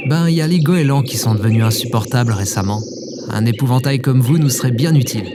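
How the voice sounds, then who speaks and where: A man's voice: mid-range voice, fast talker, a crowd in the background, natural voice. A man playing an awkward, kind cat owner with a hesitant, informal delivery in an audio drama. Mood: friendly, persuasive. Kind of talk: scripted audio fiction acting, casual.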